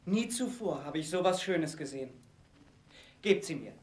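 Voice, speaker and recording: Guy putting on a young prince all lovestruck and pleading, voice a bit higher than his normal, echoey room. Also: expressive, normal speed, close to an everyday voice. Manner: earnest, pleading. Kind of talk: fairy-tale audio play.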